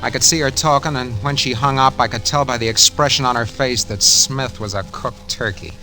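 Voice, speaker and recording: A man's voice: mid-range voice, steady tone, a little fast, bright, forceful tone, rough recording. An actor playing a guilty, nervous schemer who narrates his own crime in hardboiled slang, in 1940s radio drama style. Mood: tense, confiding. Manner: hardboiled slangy first-person storytelling. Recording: vintage 1940s radio recording, music behind.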